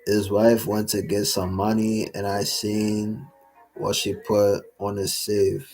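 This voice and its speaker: A young man hosting a casual livestream Q&A, rambling with lots of fillers and slang, his voice a little raspy from talking all day. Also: fairly low pitch, monotone, takes it slowly, darkish tone, soft background music, rough recording. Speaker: livestream host answering chat.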